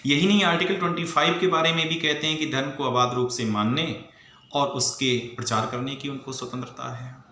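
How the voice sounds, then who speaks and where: An echoey room with faint noise carries the bright, forceful voice of an Indian man. He lectures like an exam teacher, stressing points firmly and explaining step by step with rhetorical questions. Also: medium pitch, steady tone, a little fast. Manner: emphatic, didactic, mixing in English legal terms. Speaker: teacher for civil service exam students.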